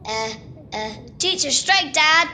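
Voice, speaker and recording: Woman acting a high-voiced cheeky Scottish schoolboy, noisy recording. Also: lively intonation, takes it slowly, sharp tone, exaggerated voice. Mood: sheepish. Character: cheeky, daydreaming schoolboy, butcher's son under sixteen. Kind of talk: amateur radio play acting. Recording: noisy remote home recording.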